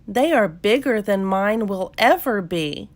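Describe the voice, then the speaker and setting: Woman acting out a young storybook goat kid, female, wistful, eager, childlike, expressive, slow pace. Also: medium pitch, close to an everyday voice.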